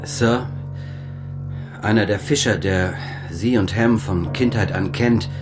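Slow and rather low, a grave messenger in a radio drama. He speaks with subdued respect while guitar music plays behind him. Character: grave, deferential male messenger bringing bad news.

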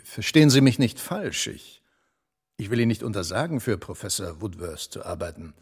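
A man's voice: lowish voice, big pitch swings, moderate pace, breathy edge, heavily acted. An actor playing an officious male department boss in a radio play, speaking formally and patronizingly.

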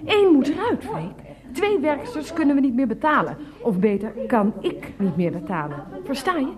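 A woman's voice: sits high, sing-song, moderate pace, a crowd in the background. An actress playing a domineering woman in a radio comedy drama, cutting, sarcastic and bossy. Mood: scornful, irritated.

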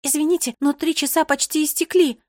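A woman's voice: high voice, a little fast, dry recording, voice pushed up, close to an everyday voice. Storybook schoolgirl, anxious and chirpy, thin raised voice, close dry recording female narrator acting. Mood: anxious, apologetic. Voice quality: thin, small voice. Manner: fretful, pleading. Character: anxious, chirpy young girl with thin little voice.

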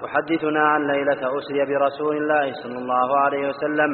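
A man's voice: mid-range voice, little pitch movement, quick pace. A man reading a religious text aloud in formal recitation, as a student in a class. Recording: muffled, long hall reverb, faint noise.

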